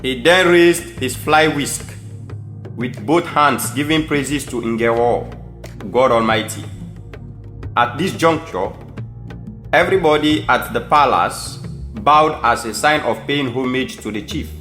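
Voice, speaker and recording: A man's voice: medium pitch, takes it slowly, fairly bright tone. A man with a Sierra Leonean accent giving scripted storytelling narration for an audio drama. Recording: echoey room, faint music behind.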